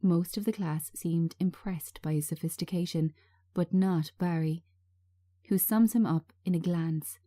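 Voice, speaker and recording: Slow, mellow woman narrating a screenplay. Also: lowish voice, dry recording, clean studio sound. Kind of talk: scripted narration of stage directions.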